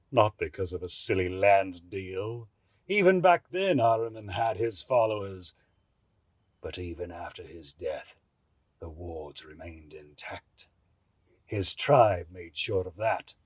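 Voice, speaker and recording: An actor playing a cunning, calmly menacing escaped asylum inmate in an audio drama, deliberate, theatrical and condescending, with a husky voice. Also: male voice, sits low, no echo, heavily acted, poor audio. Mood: fervent, grave.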